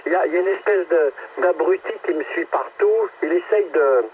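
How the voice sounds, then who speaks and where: A man's voice: on the high side, a little fast, husky, phone-quality sound. A man, an amateur radio operator, chatting casually through a radio transmission. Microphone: radio microphone heard through a receiver. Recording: very noisy, band-limited, distant radio link.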